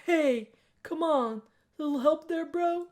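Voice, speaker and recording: A careless young jock from a visual novel, put on by a woman. He calls out loudly in slangy bro talk. He sounds offhand and dopey. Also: high-pitched, slow pace, pitched above the performer's own voice. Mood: offhand apology. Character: careless young jock dude, football player. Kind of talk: visual novel character voicing.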